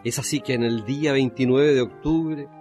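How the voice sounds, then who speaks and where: A man's voice: medium pitch, steady tone, moderate pace, a little mellow, faint music behind. A man reading scripted narration for a radio drama.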